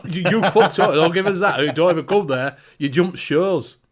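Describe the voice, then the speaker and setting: A man with a Northern English accent hosts a laid-back live online show, his voice sitting fairly high for a man. He chats loosely, swears freely and runs through a list of viewers' names. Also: moderate pace. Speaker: show host. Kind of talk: casual live-stream chat.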